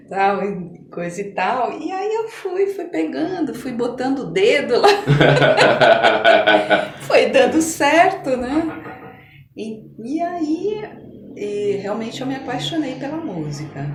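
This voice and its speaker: A mature Brazilian woman chatting as a podcast guest, telling stories in an animated but halting way that circles back on phrases. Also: a little high. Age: middle-aged or older. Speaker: podcast guest, doctor and amateur musician. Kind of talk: casual conversational storytelling in an interview. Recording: echoey room with some background noise.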